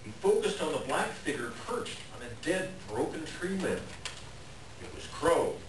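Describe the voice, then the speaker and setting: A man's voice: a little high, very animated, a little slow. A man narrating a scripted story expressively and dramatically for reader's theater. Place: indoor library reading, distant mic. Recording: very echoey room, distant, faint noise.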